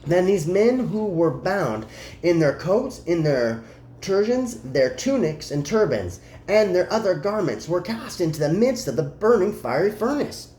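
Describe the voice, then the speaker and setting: Expressive, mellow scripture reader in a roomy space. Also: medium pitch, measured pace. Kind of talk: dramatic Bible reading. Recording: roomy echo, faint background noise.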